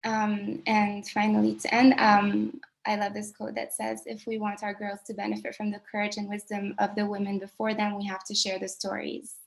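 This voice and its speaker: A woman from Lebanon, a native Arabic speaker, pitching her project over a video call with many pauses and fillers. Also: completely flat delivery, vocal fry. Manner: earnest, hesitant with many fillers. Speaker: presenter.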